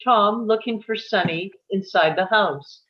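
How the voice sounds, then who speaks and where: A woman's voice: medium pitch, unhurried. Dull-sounding woman reading stage directions. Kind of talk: scripted narration at a table read.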